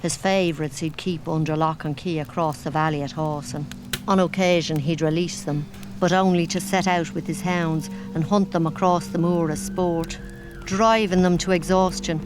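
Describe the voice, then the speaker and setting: An actress playing a grim manor servant in a gothic audio drama, with a grave, ominous storytelling manner. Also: female voice, low voice, steady tone, normal speed, over music, lightly acted. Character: grim female manor servant telling dark local legends.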